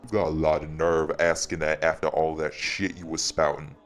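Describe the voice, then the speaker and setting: Man acting very deep, gruff, commanding man. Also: flat delivery, moderate pace, over music. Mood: menacing anger. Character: stern, hot-tempered man in command among vampires. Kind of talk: audio roleplay drama acting.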